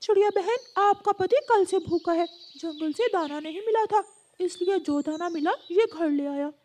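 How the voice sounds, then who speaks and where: A woman plays an outspoken parrot in a cartoon tale. The voice is pitched very high and pressing, full of concern for friends, with faint noise behind it. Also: moderate pace, darkish tone. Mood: urgent, concerned. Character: caring parrot, urgent, outspoken friend of the family.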